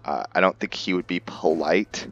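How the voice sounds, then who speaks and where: A man with a very low voice talks through a roleplaying game in a casual, hesitant way, thinking aloud about his character. The recording is slightly dull and lo-fi. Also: moderate pace, a bit bright, faint music behind. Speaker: gaming streamer and roleplayer. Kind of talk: casual roleplay gameplay commentary.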